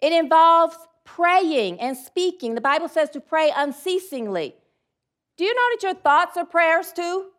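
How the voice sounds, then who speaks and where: A woman's voice: extremely high pitch, lively intonation, unhurried, fairly bright tone. A woman preaching a sermon, rambling a little and leading call-and-response affirmations.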